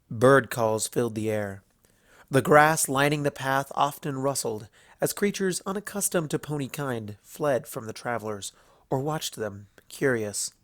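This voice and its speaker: A man's voice: mid-range voice, no echo. Dry-recorded man narrating a story.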